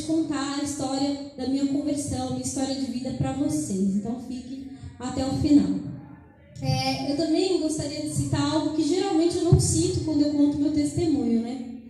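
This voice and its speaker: A woman's voice: sits high, moderate pace, mellow. A woman with a São Paulo Brazilian accent giving an informal testimony as a guest preacher, conversational and grateful in manner. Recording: very echoey church hall.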